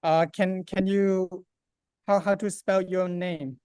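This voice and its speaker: A man introducing a monastic class, speaking haltingly with many fillers. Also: high-pitched, flat delivery, a little mellow, clear, resonant tone, dry recording, clean studio sound. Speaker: class organizer. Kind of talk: hesitant spoken introduction in English.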